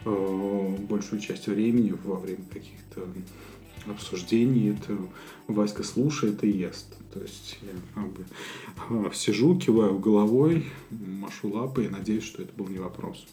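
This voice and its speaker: A man from Russia co-hosting a podcast, talking in a thoughtful, halting way with many fillers. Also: lowish voice, mellow, soft background music. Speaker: podcast co-host. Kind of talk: casual conversation.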